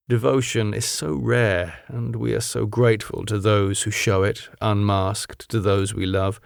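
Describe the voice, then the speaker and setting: Gravelly man narrating a classic novel. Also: on the low side, no echo, clean studio sound. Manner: measured, solemn, literary delivery. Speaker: audiobook narrator.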